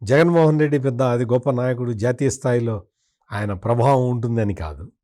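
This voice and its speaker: A man's voice: medium pitch, a little fast, warm tone. A man speaking as a political commentator in an interview, in a critical, opinionated way with emphatic repetition.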